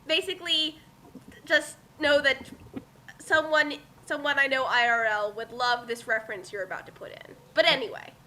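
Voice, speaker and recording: A woman bantering casually and reacting excitably during a group reading, full of exclamations. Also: high voice, moderate pace, slight background noise. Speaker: commentator in a dramatic fanfic reading.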